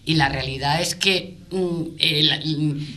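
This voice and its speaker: A woman speaking off the cuff in a panel debate as a business representative, emphatic but often halting and repeating words as she searches for phrasing. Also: low voice, bright, forceful tone.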